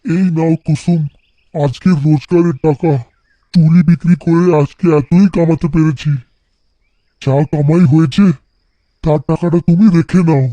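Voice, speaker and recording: A man's voice: on the high side, steady tone, normal speed, warm tone, dry recording, lo-fi sound, natural voice. A man playing a poor, weary, worried bangle seller who sighs plaintively in a cartoon folktale. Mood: resigned. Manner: plaintive, sighing. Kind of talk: animated folktale voice acting.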